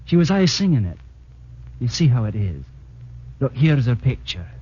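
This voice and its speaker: An actor playing a sentimental, lovesick young Scottish commando piper in a 1940s radio drama, with a Scottish accent. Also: male voice, medium pitch, very animated, moderate pace, darkish tone, a little hiss or hum, lightly acted. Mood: lovesick, wistful. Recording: muffled 1940s radio broadcast.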